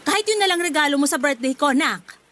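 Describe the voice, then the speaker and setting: A woman playing a demanding, wheedling middle-aged mother in a radio drama, with a nagging, expressive delivery. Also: sits high, quick pace, very noisy. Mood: indignant, wheedling.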